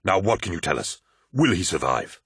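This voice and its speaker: A sober warrior captain, read by a male narrator, questioning and matter-of-fact. The voice is low and recorded dry. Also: very animated, moderate pace, a bit bright, husky, no echo, exaggerated voice. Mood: grave. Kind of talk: dramatised audiobook dialogue.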